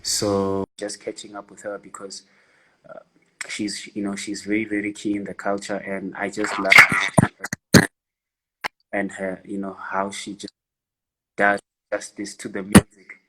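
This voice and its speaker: A man's voice: fairly low pitch, monotone, unhurried, pauses often, sharp tone, slight background noise, low-quality recording. A South African man hosting a casual online interview, talking loosely with lots of fillers. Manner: loose, filler-heavy, friendly. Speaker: host.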